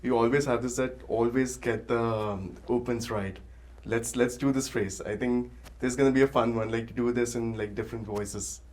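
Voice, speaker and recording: Mid-pitched man, hesitant casual talk. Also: steady tone, normal speed, a little mellow. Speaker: voice actor. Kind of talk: casual chat.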